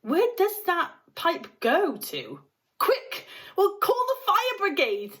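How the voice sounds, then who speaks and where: A woman's voice: very high voice, sing-song, slow pace, fairly bright tone, pitched above the performer's own voice, heavily acted. A woman voicing a frantic, bossy, outraged mother in a storybook, scolding and exclaiming shrilly.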